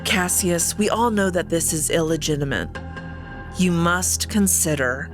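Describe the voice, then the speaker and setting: A woman voicing a calm, stoic female wolf who is sister to the emperor, speaking in a measured way. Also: on the low side, soft background music, lightly acted. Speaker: guest voice actor.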